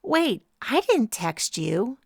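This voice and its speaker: A woman voicing a hysterical young woman, high and tense. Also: big pitch swings, slow, vocal fry, darkish tone, no echo, studio quality, full character voice. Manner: shrill, panicky.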